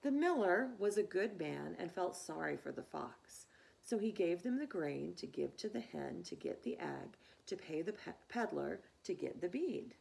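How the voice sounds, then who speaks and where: A woman storyteller reading a children's story aloud in an expressive, deliberate way, with teacherly asides. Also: lowish voice, vocal fry, low-quality recording.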